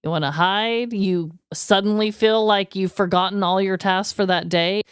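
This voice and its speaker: A woman's voice: mid-range voice, steady tone, normal speed, clear, resonant tone, dry recording, studio quality. An American woman co-hosting a comedy podcast, chatting casually with playful banter. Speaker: podcast co-host.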